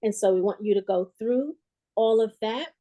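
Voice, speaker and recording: A woman in her forties hosting a livestreamed pitch on a video call, talking chattily and directly to viewers. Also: moderate pace, warm tone. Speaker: host. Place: small indoor room.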